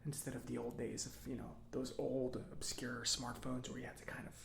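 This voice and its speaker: A man playing an eccentric, kindly mechanic in a soft-spoken ASMR roleplay, with halting, reassuring murmurs and a breathy voice. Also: medium pitch, monotone, reverberant, a little hiss or hum. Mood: chatty. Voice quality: breathy whispering, creaky. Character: eccentric, giddy, kindly male government robot mechanic.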